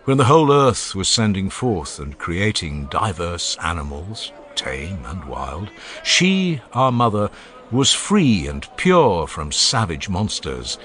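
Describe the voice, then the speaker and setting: A man playing an elderly philosopher in a dramatized audiobook, speaking in a formal, measured, declamatory manner. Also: sits low, wildly swooping pitch, a bit bright, heavily acted. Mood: solemn, stately. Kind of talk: dramatized audiobook of ancient oration.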